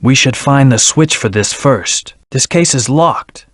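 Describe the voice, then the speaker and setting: A man's voice: medium pitch, measured pace, dry recording, clean studio sound, close to an everyday voice. A man voicing a brooding, stern hedgehog antihero in a video game, speaking in a terse, serious, controlled way. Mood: cool, focused. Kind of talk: video game voice acting, scripted hints and battle lines.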